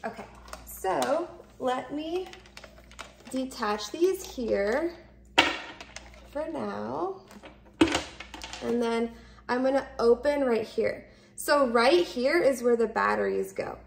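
A woman in her thirties talks through a product demonstration in a casual, instructional way. Her voice sits fairly high and often falls into vocal fry. She explains each step simply and tends to repeat herself. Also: animated, takes it slowly, long pauses, background noise. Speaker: product reviewer. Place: indoors, open kitchen and dining room at home.

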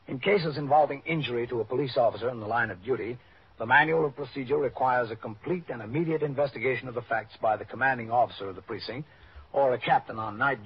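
An actor playing a calm, methodical New York police captain in an old radio drama, speaking in a measured, formal way. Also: male voice, mid-range voice, breathy edge. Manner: measured, formal, matter-of-fact. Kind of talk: 1950s radio drama acting, procedural narration. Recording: muffled, noisy old radio recording.

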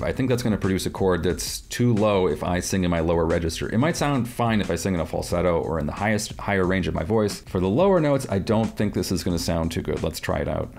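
Middle-aged male tutor, very low and gravelly, methodical and calm, soft music under the voice. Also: a little hiss or hum. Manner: calm, methodical explaining with small restarts. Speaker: audio software tutorial presenter. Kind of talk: screen-recorded software tutorial. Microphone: headset-style headphones, webcam inset.

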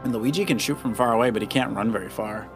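Man casually coaching over loud game music. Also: medium pitch, fast. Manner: advising, explanatory. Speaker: father co-hosting a children's let's play. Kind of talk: casual gameplay commentary and coaching. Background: video game music.

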